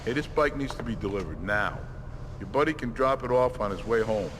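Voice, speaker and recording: An actor playing a blunt, demanding bike-shop boss in a video game cutscene. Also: male voice, fairly low pitch, lively intonation, a little fast, noisy background, lightly acted. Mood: urgent, bossy. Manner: blunt, bossy.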